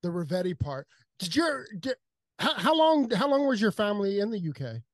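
A man's voice: high-pitched, sing-song, normal speed, no echo, studio quality. A man hosting a podcast, chatting casually and eagerly, often rambling and restarting his sentences. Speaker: podcast host. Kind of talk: casual interview chat.

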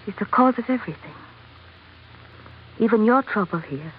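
A woman's voice: mid-range voice, expressive, moderate pace, husky. A woman playing a devoted, educated young sultana with an earnest manner in an old radio drama. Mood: indignant. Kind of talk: 1940s radio drama acting. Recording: dull, noisy old radio recording.

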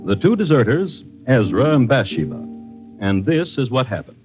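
A man narrating a radio story in a wry manner, with dramatic pauses. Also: mid-range voice, big pitch swings, over loud music. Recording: dull, lo-fi old radio recording.

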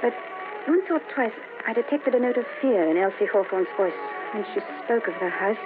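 A woman's voice: medium pitch, animated, brisk, music in the background, lightly acted. An actress playing a mysterious woman who speaks cryptically and forebodingly in an old time radio horror drama, on a tinny vintage recording. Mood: ominous, cryptic. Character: mysterious, ominous woman acquaintance hinting at deadly secrets.

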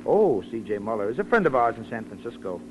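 Actor as animated, slangy radio-drama schemer. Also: male voice, mid-range voice, fast, lo-fi sound, lightly acted. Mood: offhand. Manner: casual, slangy, feigned reluctance. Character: smooth-talking scheming man sweet-talking his girl. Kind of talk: 1940s radio drama acting. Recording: old radio recording with faint noise.

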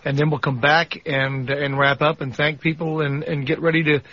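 A man's voice: a little high, level tone, normal speed, highs cut off. A man co-hosting live radio in a casual, chatty style. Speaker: radio co-host.

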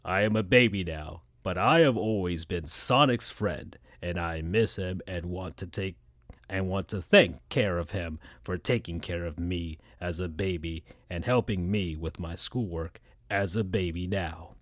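A man voicing a meek baby echidna in a low, quiet voice while reading a story aloud. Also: a little slow, no echo, studio quality. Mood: plaintive.

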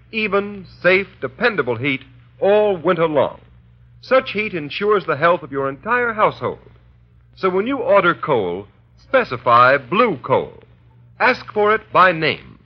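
A male announcer from old-time radio, formal and emphatic, with a highish, very animated voice. The recording sounds muffled. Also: breathy edge. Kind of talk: 1930s radio announcing, scripted.

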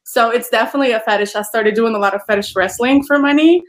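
Woman, 30s, chatty interview guest, somewhat high-pitched. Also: fast. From Poland. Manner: chatty, many "you know" fillers, laughs often. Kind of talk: casual interview chat.

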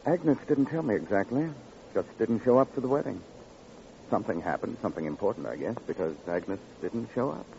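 An American actor playing a cagey, folksy lonely-hearts club operator, evasive and familiar, in an old-time radio crime drama. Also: male voice, lowish voice, animated, brisk, creaky, dark tone. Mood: confiding. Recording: muffled, noisy vintage radio recording.

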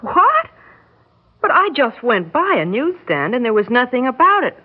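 An actress playing a skeptical young secretary in a radio drama, hesitant and stammering, on a muffled old recording. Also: female voice, a little high, sing-song, moderate pace, husky. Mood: flustered. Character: skeptical, flustered young woman secretary phoning the future. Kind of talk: classic radio drama acting.

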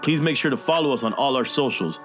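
Guy reading podcast credits, steady, middle voice, faint music under it. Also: mid-range voice, fast talker. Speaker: show host reading credits. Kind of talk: scripted outro read.

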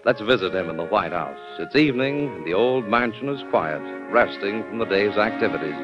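A man's voice: fairly low pitch, animated, fairly quick. A male announcer narrating a classic radio drama in a grand, formal, theatrical style. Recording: dull vintage radio recording. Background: music.

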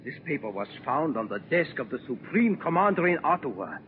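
An actor playing a ruthless, imperious Nazi occupation officer in a 1940s radio drama, threatening and theatrical. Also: male voice, on the high side, big pitch swings, a little fast. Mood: frantic rage. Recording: tinny, noisy, echoing 1940s radio recording.

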